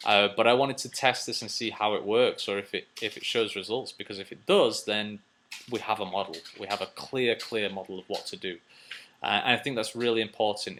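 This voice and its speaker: A man's voice: lowish voice, sharp tone, slight background noise. A man hosting a casual, unscripted advice video, answering viewers as he thinks aloud, rambling and repeating phrases.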